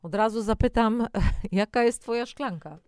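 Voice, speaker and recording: A woman's voice: on the high side, normal speed, a little mellow, clean tone, no room sound, clean studio sound. A woman co-presenting educational health material, explaining informally and speaking directly to the listener between scripted passages. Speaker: co-presenter of a health audio programme. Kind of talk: scripted educational narration with conversational asides.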